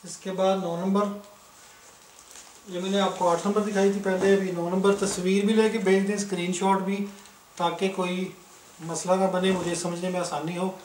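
Brisk man selling shirts, echoey room. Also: high-pitched, little pitch movement, darkish tone, distant mic, slight background noise. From Pakistan. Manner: brisk, matter-of-fact salesman listing prices. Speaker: online clothing seller. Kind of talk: casual product showcase. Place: indoors, filming fabrics on the floor. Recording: echoey room, somewhat off-mic.

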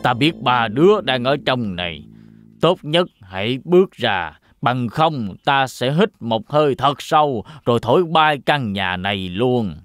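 Sly fairy tale villain wolf: threatening drawl, very slow, dry close sound. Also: male voice, mid-range voice, lively intonation, a bit bright, no echo. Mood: menacing. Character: sly, menacing male big bad wolf. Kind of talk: children's fairy tale cartoon dub.

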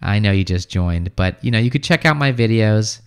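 Smooth-voiced man chatting on a livestream. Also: lowish voice, normal speed. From United States. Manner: relaxed and chatty, many fillers, answers comments offhand. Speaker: English teacher hosting a livestream. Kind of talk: casual livestream chat reading viewer comments. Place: small room at home, indoors. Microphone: studio mic on boom arm close to mouth.

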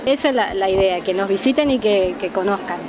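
A woman's voice: mid-range voice, fairly quick. A woman, an artisan vendor speaking earnestly and with energy in an interview. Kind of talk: casual interview. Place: large indoor fair hall. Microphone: handheld mic. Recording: crowd chatter behind.

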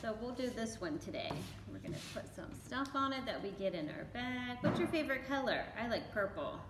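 A woman's voice: a little high, big pitch swings, fairly quick. An American woman giving a casual children's craft demonstration. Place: library room with bookshelves. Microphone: camera a few meters away. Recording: echoey room, clear background noise.

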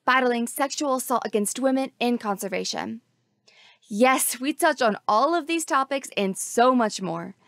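A woman's voice: high-pitched, fairly bright tone, no echo, studio quality. A woman in her thirties hosting a conservation podcast, reading an enthusiastic scripted intro and then chatting with a guest over a video call. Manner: enthusiastic, gushing. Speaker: podcast host.

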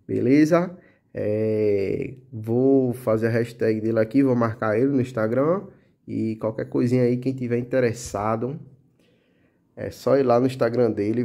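A man with a Pernambuco Brazilian accent talks in an easygoing show-and-tell way, tagging his sentences with little checks to the viewer. His voice is mellow and dark, of middling pitch. He is filming close on a phone indoors at a table. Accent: Pernambuco Portuguese. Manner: relaxed, chatty, full of fillers like "tá?" and "né?". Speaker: motovlog channel host. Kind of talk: casual product show-and-tell.

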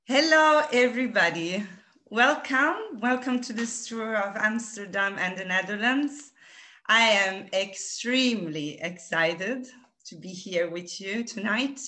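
A woman's voice: medium pitch, takes it slowly, bright, forceful tone. A woman from Italy with an Italian accent, giving a virtual tour presentation over a video call with many hesitant fillers. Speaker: tour director. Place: home room.

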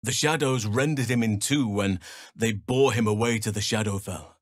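An actor voicing a wise, gentle and grave archdruid in a fantasy video game, with measured, formal and thoughtful phrasing. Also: male voice, lowish voice, dry recording, studio quality, natural voice.

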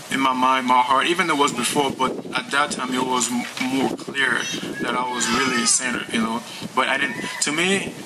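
A young man from Haiti tells his story to a small gathering outdoors, speaking into a stand mic. He talks in a halting, unhurried way, full of pauses and restarts. Steady outdoor noise and the odd passing vehicle sit behind his mid-pitched voice. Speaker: church member giving testimony. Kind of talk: personal testimony to a gathering. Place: outdoors by a lake. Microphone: stand mic, filmed from a distance.

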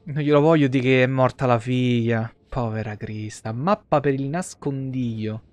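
A man's voice: medium pitch, moderate pace, a little mellow, no room sound. A man in his thirties casually commenting on a game live, in slangy speech with free swearing. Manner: crude, slangy, swears freely. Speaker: game streamer. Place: small room at home, streaming setup. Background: game music.

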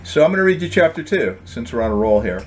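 A man's voice: medium pitch, sing-song, fast, darkish tone, slight background noise. A middle-aged man reading from his own book as its author, in a storybook style with casual introductions. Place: indoor home office.

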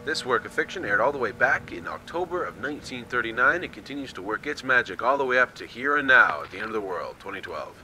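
An actor playing a showy radio announcer presenting an old radio play. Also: male voice, medium pitch, animated, fast talker, few pauses, pushed and bright, slight background noise, rough recording.

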